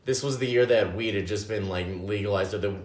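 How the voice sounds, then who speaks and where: A man's voice: on the low side, a little fast. Rambling man telling a story in an echoey room. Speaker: podcast guest. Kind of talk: casual drunken chat.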